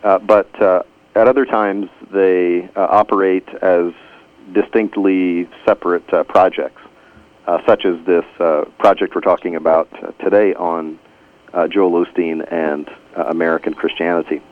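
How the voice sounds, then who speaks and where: A man from Houston, a historian answering interview questions in a measured way, with many pauses and restarts. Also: deep voice, fairly even intonation. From Houston, Texas. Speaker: guest historian. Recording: tinny phone line.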